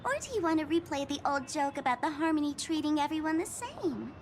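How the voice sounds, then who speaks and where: A woman's voice: sits high, expressive, brisk, noisy background. A woman playing a playful, sadistic young female bomber villain in a video game, with mocking, theatrical delivery. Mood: taunting. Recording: music under the voice. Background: background music.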